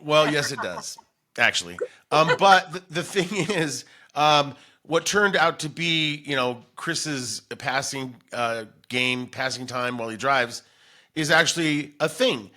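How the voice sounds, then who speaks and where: A man's voice: a little high, expressive, measured pace, pushed and bright. A middle-aged American man hosting a podcast, chatting casually in a rambling, conversational storytelling way. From Denver, United States. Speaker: podcast host. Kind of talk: casual chat.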